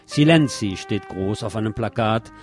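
A man's voice: on the low side, expressive, normal speed. Wry man presenting over music. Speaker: radio show host. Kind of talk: scripted magazine commentary with spoken asides. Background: music under the voice.

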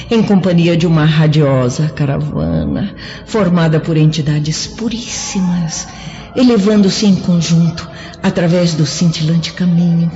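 A woman's voice: lowish voice, dark tone. A woman playing a serene, devout noble spirit in a solemn, lyrical, declamatory radio drama. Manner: lyrical, declamatory, drawn-out phrasing. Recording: muffled old radio drama with music.

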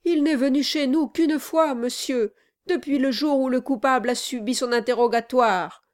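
A woman voicing a servile, flattering male lawyer in an audiobook, obsequious and deferential. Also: sits high, normal speed, voice pushed up, close to an everyday voice. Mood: anxiously deferential. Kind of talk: dramatized audiobook reading.

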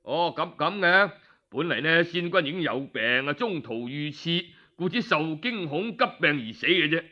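A man's voice: fairly high pitch, lively intonation, normal speed, highs cut off. Man playing a hesitant, sly Cantonese courtier. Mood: evasive. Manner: hesitant, stammering excuses. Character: sly male courtier, evasive and nervous.

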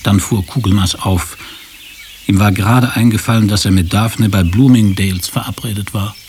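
A man's voice: lowish voice, steady tone, moderate pace, a little mellow, a little hiss or hum. A man narrating a scripted radio drama as storyteller.

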